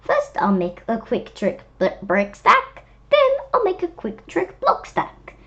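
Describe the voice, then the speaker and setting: A woman voicing an eager, tricky, bossy storybook fox with an excited sing-song delivery. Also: a little high, slow pace, lo-fi sound, heavily acted. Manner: excited sing-song, tongue-twisting patter.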